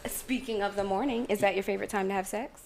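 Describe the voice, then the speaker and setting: A woman's voice: mid-range voice, fairly even intonation, quick pace, vocal fry, crowd noise. A woman around thirty co-hosting a podcast, playfully asking the questions. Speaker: podcast co-host. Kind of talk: casual chat.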